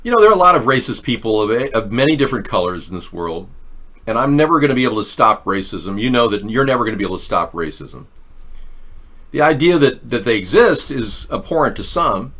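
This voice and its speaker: A man's voice: mid-range voice, big pitch swings, fairly quick, a bit bright. Sarcastic ranting male host. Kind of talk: political commentary livestream.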